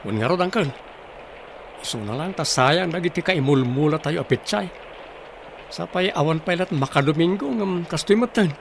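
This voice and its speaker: An actor playing a dutiful young farm nephew in a radio drama. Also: male voice, a little high, lively intonation, moderate pace, creaky, slight background noise. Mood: regretful, dutiful. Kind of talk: acted radio-drama dialogue.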